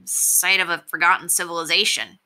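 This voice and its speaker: A woman's voice: fairly low pitch, fairly even intonation, fairly quick, bright, forceful tone, poor audio. A woman voicing a learned, haughty male fox who lectures formally and needles with dignity in a storybook. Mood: lofty, lecturing.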